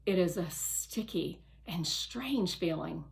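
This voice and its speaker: A woman narrating a children's novel aloud with careful, expressive storytelling. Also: on the low side, slow pace, gravelly.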